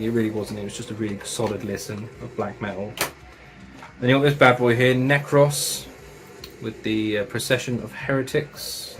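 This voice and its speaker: A man casually reviewing metal records and tapes, enthusiastic and chatty, with slang and swearing. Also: mid-range voice.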